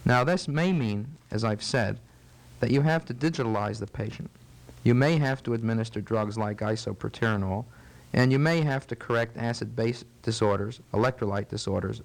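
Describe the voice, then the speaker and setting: A man speaks as a medical expert being interviewed. His delivery is formal and methodical, with carefully built, precise explanations in a measured teaching style. His voice sits at an ordinary male pitch. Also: rough recording.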